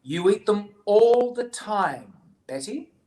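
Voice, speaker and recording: A woman voicing a storybook mother rabbit, firm and matter-of-fact, correcting a child with emphatic, deliberate words. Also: lowish voice, lively intonation.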